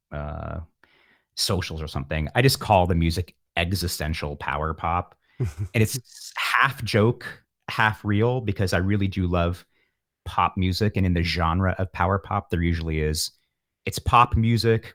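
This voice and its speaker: A man's voice: low voice, fairly bright tone, no echo, studio quality. A man speaking as a musician guest in an interview, reflective and earnest, taking discursive, self-deprecating detours as he explains himself.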